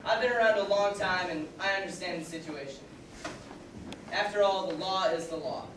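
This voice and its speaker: A man playing a stubborn, law-abiding camp counselor in an amateur stage play, declaiming loudly and sometimes half-singing, recorded from far off in a hall. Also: high voice, moderate pace, slight background noise. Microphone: far room mic. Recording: echoey hall from far off, with music.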